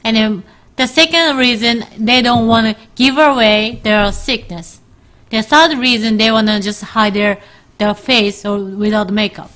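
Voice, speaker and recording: A Japanese woman chatting casually in halting English, with Japanese as her first language, laughing often. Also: on the high side, a bit bright. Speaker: co-host. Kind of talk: casual chat.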